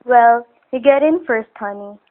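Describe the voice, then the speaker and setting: An actress playing a sweet, chatty, affectionate wife in an old-time radio drama, speaking in a homey conversational way. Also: female voice, fairly high pitch, a little slow, phone-quality sound, noisy background, close to an everyday voice. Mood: affectionate, light. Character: chatty, sweet-natured wife who likes musicals. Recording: band-limited old radio recording.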